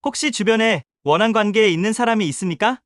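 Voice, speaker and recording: A woman's voice: mid-range voice, fast, no echo, lightly acted. A woman voicing a polite police detective in an audio drama, formal and questioning, recorded dry. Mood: businesslike.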